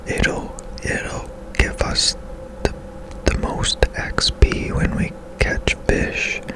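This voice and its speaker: A game host giving ASMR gameplay commentary in a soft, breathy whisper, gentle and unhurried with pauses. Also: poor audio.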